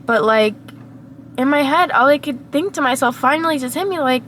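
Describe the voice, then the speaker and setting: A young woman talks to her camera from inside a parked car, her voice hoarse and raspy from a cold. She sits a little high in pitch and rambles in a reflective way, full of fillers. Faint car and street noise hums behind her. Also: moderate pace, fairly bright tone. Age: young adult. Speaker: vlogger. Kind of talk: casual vlog monologue.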